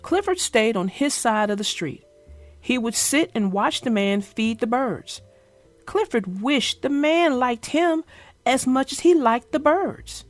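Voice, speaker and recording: A woman voicing an earnest young girl who tells about her dog in a simple, expressive storybook read-aloud. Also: fairly high pitch, no room sound. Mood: earnest, hopeful.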